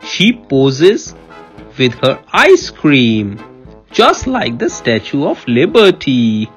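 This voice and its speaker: Woman doing storytime for a kid, kinda slow and low, really animated, faint music behind. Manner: expressive, playful, teacherly, repeats answers and prompts. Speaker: parent reading a picture book to a child. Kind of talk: animated read-aloud storytelling with questions to a child.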